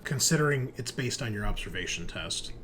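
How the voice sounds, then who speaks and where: A man's voice: lowish voice, expressive, fairly quick, a bit bright. A man running an online tabletop roleplaying game as game master, explaining things conversationally. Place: indoors at a computer, online game session. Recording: background noise with keyboard typing.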